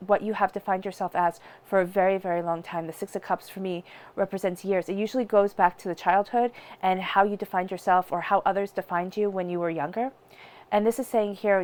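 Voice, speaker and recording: A woman's voice: mid-range voice, a little mellow. A woman with a stuffy, congested voice from a cold, giving a chatty tarot reading straight to her viewers. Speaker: tarot reader. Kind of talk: direct-to-camera tarot reading.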